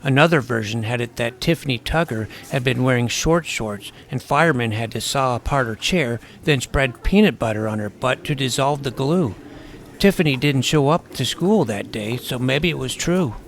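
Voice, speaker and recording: A man reading a first-person story aloud in a wry, deadpan manner. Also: medium pitch, moderate pace, a little hiss or hum. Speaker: audiobook narrator. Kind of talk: first-person scripted narration.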